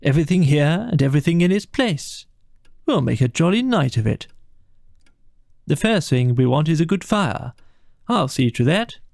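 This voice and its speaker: A man voicing a cheery, practical storybook water rat with a brisk, encouraging, chummy manner. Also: fairly high pitch, big pitch swings, gravelly, darkish tone, dry recording, studio quality, pitched above the performer's own voice. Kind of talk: dramatised storybook reading.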